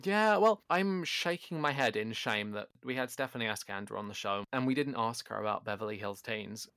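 A man co-hosting a podcast in casual chat, loud and animated, often poking fun at himself. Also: fairly low pitch, moderate pace, no echo. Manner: animated, self-deprecating, loud. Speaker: podcast co-host. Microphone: home podcast microphone.

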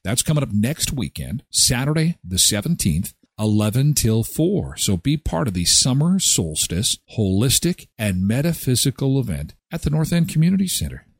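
A man's voice: mid-range voice, very animated, moderate pace, gravelly, few pauses, breathy edge, dry recording. A man hosting a live show, talking in a presenter's conversational style. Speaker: radio show host. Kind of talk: live show chat and event promo reading.

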